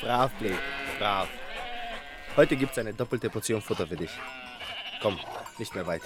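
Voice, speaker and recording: Low-voiced naive young shepherd. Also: male voice, expressive, measured pace. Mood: coaxing. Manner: simple, musing aloud, coaxing. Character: naive young male shepherd apprentice talking to his dog. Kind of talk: radio crime drama acting. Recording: music and bleating flock behind.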